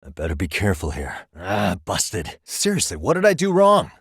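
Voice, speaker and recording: A man's voice: low voice, huge pitch swings, moderate pace, breathy edge, no room sound, studio quality, heavily acted. An American man playing a wry, streetwise private detective in a video game, speaking casually and sardonically in short lines and asides. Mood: wary, annoyed. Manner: casual, sardonic muttering with frequent sighs.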